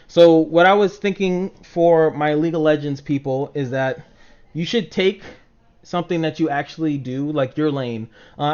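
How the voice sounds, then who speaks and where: A man rambling casually to livestream viewers in an informal, sweary way, acting out reactions as he goes. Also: fairly high pitch. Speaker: livestream host talking to chat viewers. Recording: dull, lo-fi microphone sound.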